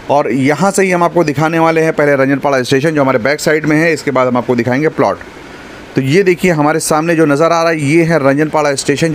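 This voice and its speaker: A man's voice: a little high, a little hiss or hum. A man in his thirties narrating a walking real estate tour, pointing out sites to viewers in a promotional way. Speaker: property video host. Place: outdoors by roads and at an office.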